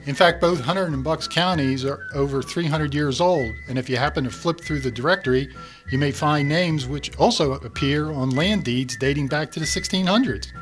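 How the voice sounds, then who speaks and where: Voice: medium pitch, moderate pace, soft background music, lightly acted. An actor playing an easygoing companion with a fondness for terrible poetry, in a scripted comedic radio theatre piece. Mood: plain, puzzled. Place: radio studio.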